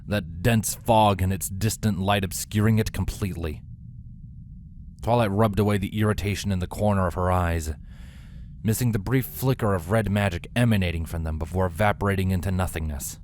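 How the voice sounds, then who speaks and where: A man reading a fantasy story as narrator in a scripted, dramatic style with deliberate pauses. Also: low voice, darkish tone, slight background noise. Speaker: fan fiction narrator. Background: faint background music.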